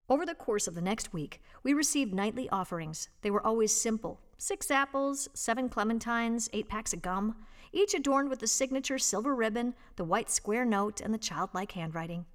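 Female reader for audio narration: higher-pitched voice, measured and expressive storytelling delivery. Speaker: volunteer reader and host of an audio reading service. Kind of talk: reading a personal essay aloud, scripted narration.

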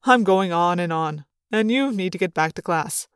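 A woman narrator takes on a middle-aged male school official for a storybook. He sounds earnest and measured, pitched low for the reader, with a patronizing, probing drone. The recording is dry and close. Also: lively intonation, clear, resonant tone, no room sound, lightly acted. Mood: brisk, patronizing.

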